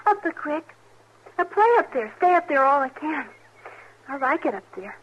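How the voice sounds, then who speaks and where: A small, lonely frontier girl, high-pitched and childlike. She speaks with odd, simple candor in old radio western style, on a muffled vintage recording. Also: lively intonation, moderate pace, slight background noise. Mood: guileless, wary. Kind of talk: old-time radio drama acting.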